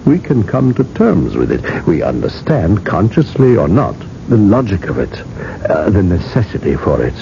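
An actor playing a shrewd, sardonic gatekeeper who probes and needles in a radio drama. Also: male voice, low-pitched, huge pitch swings, vocal fry, exaggerated voice. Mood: probing, knowing. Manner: probing, sardonic, deliberate. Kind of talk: 1980s radio drama acting. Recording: muffled, noisy old radio recording.

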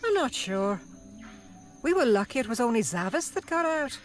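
A woman's voice: fairly high pitch, very animated, normal speed. A woman playing a firm alien prison warden. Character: stern alien female prison librarian. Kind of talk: amateur audio drama. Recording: reverberant hall with music.

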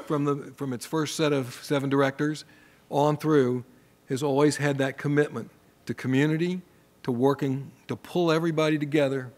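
An older man from Virginia giving deliberate formal remarks on an auditorium stage. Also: mid-range voice, in a large hall. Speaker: symposium speaker introducing.

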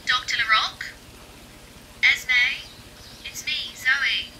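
A woman playing a sociable, gently teasing astronaut in a science fiction audio drama, with a casual way of talking. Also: high-pitched, steady tone, takes it slowly, slight background noise, exaggerated voice, poor audio.

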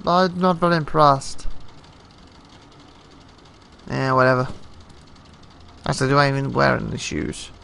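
A man's voice: medium pitch, unhurried, creaky, long pauses, bright, forceful tone. A man giving casual, offhand let's play commentary, muttering and stumbling a little. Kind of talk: casual gameplay commentary, reading dialogue aloud. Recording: noisy home game recording.

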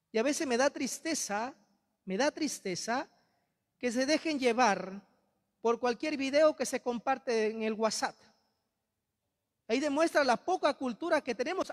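A young Peruvian priest with a Peruvian accent preaching a sermon in a large church, holding a handheld mic, impassioned and reproachful, repeating his phrases. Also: very high-pitched. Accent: Peruvian Spanish. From Peru. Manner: impassioned, rhetorical repetition, reproachful. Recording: long church reverb.

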